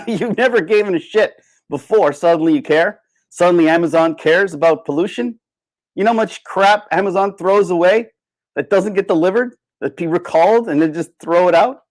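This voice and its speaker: A man hosts a livestream in a casual, off-the-cuff style. His voice sits a little higher than average for a man. He sounds opinionated and sardonic, talking in an animated way. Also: normal speed, poor audio. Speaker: livestream host.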